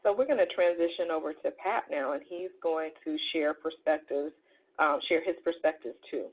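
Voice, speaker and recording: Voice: mid-range voice, moderate pace. A webinar moderator thanking a speaker and handing over, with frequent ums. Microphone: video call. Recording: tinny phone-like call audio.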